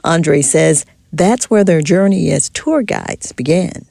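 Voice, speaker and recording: A woman radio reporter narrating a scripted news feature in a measured, clear way. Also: low-pitched, expressive, mellow, no room sound, studio quality.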